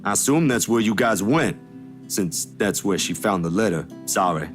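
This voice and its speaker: Girl voicing a defensive young guy in a visual novel, low but swoopy, expressive, faint music. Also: normal speed.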